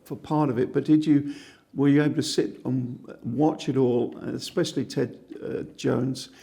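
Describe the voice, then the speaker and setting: A man's voice: mid-range voice, steady tone, moderate pace, creaky, dark tone. A man working as a reporter, interviewing a guest with chatty, leading questions.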